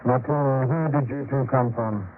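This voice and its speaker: An actor playing a haughty, suspicious town elder, questioning sternly and sarcastically in a 1940s radio drama. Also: male voice, medium pitch, fairly even intonation, moderate pace, natural voice. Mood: curt, imperious. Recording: tinny, very noisy 1940s radio recording.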